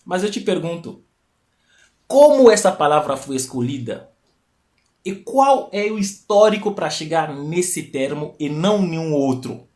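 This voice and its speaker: A man's voice: fairly high pitch, lively intonation, unhurried, darkish tone. Man teaching on a live stream. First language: not Portuguese. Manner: explanatory, repeats key phrases, rising emphatic peaks. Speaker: host of a live stream talk. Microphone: live stream mic.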